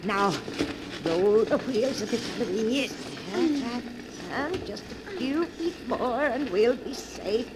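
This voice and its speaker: A noisy old radio recording carries a high-pitched elderly aunt. She is bitter, determined and commanding. Her delivery is emphatic, in the melodramatic manner of 1940s mystery drama. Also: expressive, takes it slowly. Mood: resolute. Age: older.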